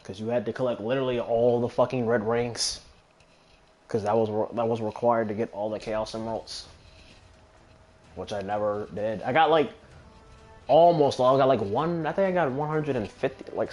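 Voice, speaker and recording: A man's voice: mid-range voice, brisk, darkish tone. Male gamer, mid-range voice, loose sweary play-by-play, game music behind. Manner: rambling, casual, swears freely. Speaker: gamer commentating on own play. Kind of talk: casual gameplay commentary. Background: video game music.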